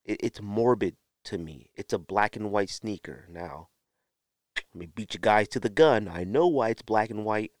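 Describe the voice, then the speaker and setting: A man with an American accent giving opinionated solo podcast talk in a blunt, emphatic way, with drawn-out pauses and repeated phrases. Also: fairly low pitch, no room sound, studio quality. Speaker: podcast host.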